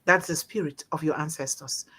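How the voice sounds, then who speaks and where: A woman's voice: low voice, steady tone, a little fast, pushed and bright, breathy edge. A woman from Africa hosting a discussion, speaking in an impassioned, informal way and repeating phrases for emphasis. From born and raised in Africa. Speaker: host of a discussion. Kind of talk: impassioned informal storytelling and exhortation.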